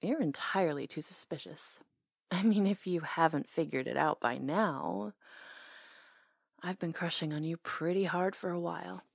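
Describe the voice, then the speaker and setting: A woman acting out a flirty, tender small-town salon owner in an ASMR romance. Her voice is soft and breathy with a lot of fry, recorded very close and dry. She teases and hesitates as she talks intimately to someone she adores. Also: lowish voice, no echo. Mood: playful, affectionate. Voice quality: soft, breathy, sometimes whispery. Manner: teasing, hesitant pauses, intimate.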